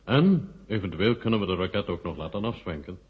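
A man acting an assured, stern Russian marshal in a science-fiction radio drama, measured and formal. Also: deep voice, animated, breathy edge. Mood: stern, confident. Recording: muffled old radio recording.

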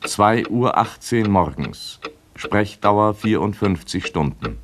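An actor playing an impersonal timekeeper in a radio play, making formal, terse, measured announcements. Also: male voice, lowish voice, animated, slight background noise. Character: impersonal male timekeeper announcing a record attempt. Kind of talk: clipped radio drama announcement.

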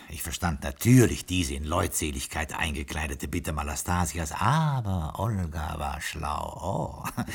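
Wry formal butler, deep voice but swoops around a lot, 60s german radio play. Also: male voice, vocal fry, breathy edge, heavily acted. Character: discreet, dryly servile butler narrating his memoirs.

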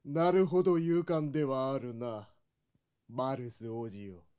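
An actor playing an arrogant old dark sorcerer in a fantasy audio drama, speaking in archaic, pompous, gloating menace. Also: male voice, medium pitch, fairly even intonation, measured pace, smooth, natural voice. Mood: sly, mocking.

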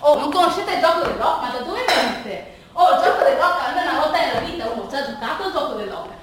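High-voiced, rambling woman on an echoey stage. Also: crowd noise. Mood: flustered, playful. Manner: halting, repetitive, animated explaining. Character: agitated, rambling woman telling a bittersweet story. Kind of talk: theatrical monologue, colloquial and addressing the audience. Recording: roomy, distant stage recording, faint noise.

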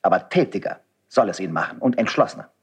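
A man's voice: on the low side, lively intonation, quick pace, breathy edge, dull audio. An actor playing an idealistic nobleman and conspirator in a classical verse radio drama, earnest, rhetorical and impassioned. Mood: measured, secretive.

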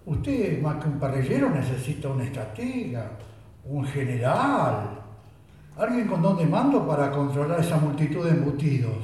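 Male actor as a bragging gaucho sergeant, Rioplatense accent, lively up-and-down delivery, echoey off-mic room. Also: mid-range voice, far from the mic, natural voice. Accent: Rioplatense Spanish. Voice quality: animated, boastful storyteller. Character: Argentine gaucho sergeant, boastful, folksy. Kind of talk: amateur radio drama acting.

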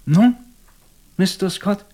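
An actor playing a shrewd, composed police inspector in a German radio crime drama, questioning methodically with dry superiority. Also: male voice, high-pitched, slow pace, dark tone. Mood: probing, confident. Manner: methodical, probing, dryly superior.

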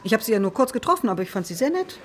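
An actress playing a frank, sceptical police colleague in a crime radio drama, probing with wry concern. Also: female voice, a little high, sing-song, racing. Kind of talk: naturalistic German crime radio drama acting. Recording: faint background noise.

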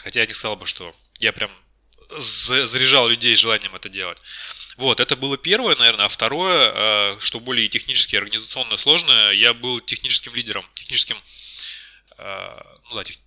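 A man with a fairly low and strikingly bright, forceful voice. He speaks as a job candidate in a technical interview, feeling his way through answers and correcting himself. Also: no room sound. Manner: hesitant, self-correcting. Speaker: job candidate, network engineer.

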